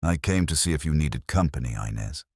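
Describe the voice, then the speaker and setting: A man voicing a cold, smooth, menacing suspect who is politely threatening, in an audiobook mystery. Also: a bass voice, expressive, fairly quick, darkish tone, dry recording, clean studio sound, exaggerated voice. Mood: cool menace.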